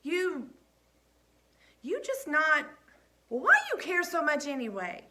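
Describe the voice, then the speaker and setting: A desperate teenage boy from a storybook, his voice cracking as he pleads and snaps back. A woman reads him out high and bright, pushing hard through every word. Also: very animated, slow, slight background noise, heavily acted. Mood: angry. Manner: pleading, voice breaking.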